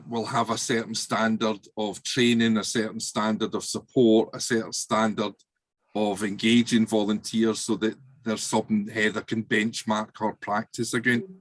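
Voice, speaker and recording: A man's voice: on the low side, dry recording. A man speaking in a casual video-call discussion about volunteering, chatty and full of fillers.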